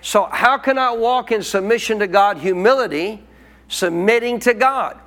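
A man's voice: sits high, moderate pace, a bit bright. A man preaching a sermon as pastor, teaching in a colloquial call-and-response manner.

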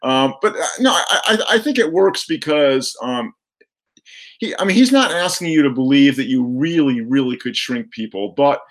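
Measured man in a film discussion. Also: medium pitch, lively intonation. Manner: measured, hesitant, qualifying his opinions. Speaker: guest film commentator.

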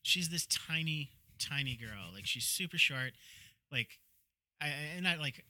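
A man as a podcast guest, telling casual stories in a rambling, halting way. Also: a little high, expressive, sharp tone, no echo. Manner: rambling, halting, heavy use of "like". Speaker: podcast guest, former bar worker. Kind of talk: casual anecdotal chat.

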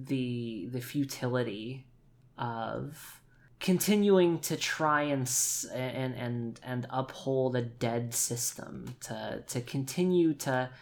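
Slow, very low-voiced woman improvising a story. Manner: hesitant, thinking aloud, often repeats phrases. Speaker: player in an actual play tabletop game. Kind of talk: improvised tabletop game narration.

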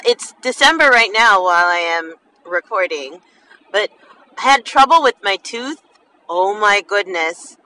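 A woman hosting a podcast in a casual, expressive monologue, with a slight lisp and slurred enunciation from a half-numb mouth. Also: mid-range voice. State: half the mouth numb after dental work. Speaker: podcast host. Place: inside a car while driving. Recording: distant mic, faint vehicle noise. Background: faint car driving noise.

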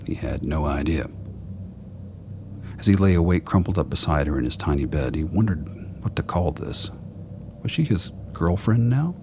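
Very deep male narrator. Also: lively intonation, moderate pace, background noise. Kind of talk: scripted story narration for a radio play.

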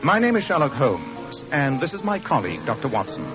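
A cool analytical british detective, clipped n formal, lively pitch, muffled old radio recording. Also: male voice, brisk, over music. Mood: formal, courteous. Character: brilliant, commanding, coolly analytical gentleman detective. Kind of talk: old-time radio detective drama acting.

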